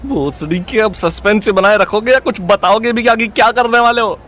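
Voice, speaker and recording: A man's voice: high-pitched, a little fast, fairly bright tone, slight background noise. An actor playing a crude, joking young male sidekick in an audio drama, teasing and exclamatory. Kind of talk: Hindi audio comedy-horror drama acting.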